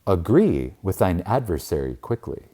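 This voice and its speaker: A man narrating a spiritual audiobook in a reverent, emphatic manner with deliberate dramatic pauses. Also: low voice, very animated, mellow.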